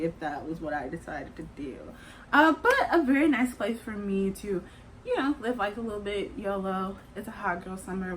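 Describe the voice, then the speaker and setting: A woman's voice: mid-range voice, a bit bright, slight background noise, poor audio. Chatty young woman vlogging to camera. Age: 20s. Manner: chatty, rambling, lots of "like" and "kinda". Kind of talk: casual talk to camera.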